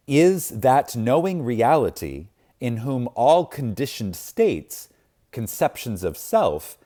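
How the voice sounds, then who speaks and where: A man reading a spiritual text aloud in a reverent, dramatic way, solemn and deliberate, with long pauses and strong emphasis. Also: mid-range voice, dark tone. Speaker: audiobook reader.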